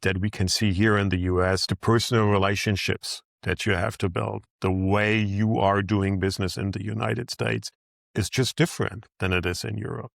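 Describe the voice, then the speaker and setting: A man's voice: lowish voice, gravelly, dry recording, clean studio sound. A middle-aged man from northern Germany with a German accent, answering interview questions earnestly and upbeat. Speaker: guest, managing director. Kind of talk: in-person interview.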